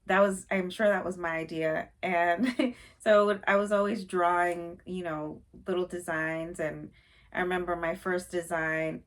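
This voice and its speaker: Young woman vlogger, lowish voice, casual and chatty with fillers, first-video nerves. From Haiti. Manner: chatty and a little nervous, with many fillers. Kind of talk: casual chat to camera.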